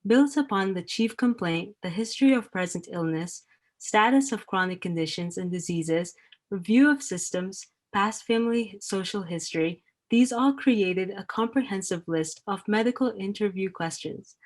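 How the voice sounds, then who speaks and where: A woman's voice: medium pitch, normal speed. A woman panelist reading out a scripted, formal explanation for an educational program.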